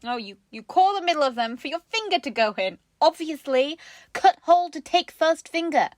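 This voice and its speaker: A woman's voice: sits high, animated, moderate pace, no room sound, clean studio sound. A woman hosting a casual comedic craft chat, giggly and playfully mocking as she talks. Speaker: craft show host.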